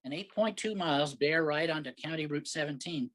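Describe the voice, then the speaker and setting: A man's voice: a little high, a little fast, a bit bright, lightly acted. A man playing an impatient, grumbling New York husband lost in the countryside, in a staged reading of a comic play. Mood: frustrated. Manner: sarcastic, grumbling.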